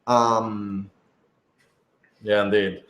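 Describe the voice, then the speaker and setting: A man's voice: on the low side, level tone, very slow, fairly bright tone, muffled recording. A man chatting casually as a writer and producer answering questions, rambling and leaning heavily on filler words. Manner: rambling, heavy use of "like". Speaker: writer and producer of an audio drama. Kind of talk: casual Q&A chat. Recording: roomy, echoing indoor sound.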